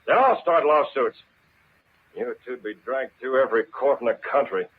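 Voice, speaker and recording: An actor playing a commanding, coolly taunting masked vigilante in a 1940s radio drama, giving clipped threats. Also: male voice, mid-range voice, moderate pace, slightly rough, phone-quality sound, natural voice. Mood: accusing. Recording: scratchy, thin old-time radio broadcast.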